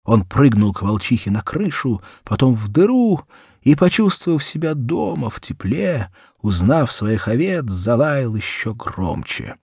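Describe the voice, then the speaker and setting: A male audiobook narrator tells a children's story in a middle register. His intonation is animated and theatrical, with dramatic pauses and strong emphasis. The sound is dry, with no room around it. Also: mid-range voice, breathy edge, dry recording.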